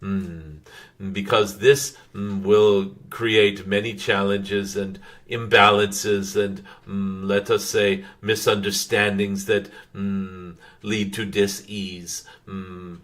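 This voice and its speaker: A man channeling a wise, gentle dragon spirit, giving spiritual guidance in a halting, formal way with frequent humming pauses. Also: fairly low pitch, level tone. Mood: calm, instructive. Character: wise, gentle dragon spirit addressing followers as dear ones.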